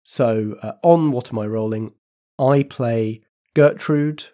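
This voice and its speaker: A man's voice: medium pitch, slow, mellow. A man with a British accent, casually narrating and chatting as game master and host of a role-playing podcast.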